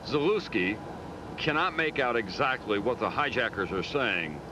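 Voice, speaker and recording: Male news narrator, mid-range voice, measured dramatic script read, faint noise behind. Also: mid-range voice, lively intonation. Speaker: news correspondent narrating a television documentary. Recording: dull audio with faint background noise.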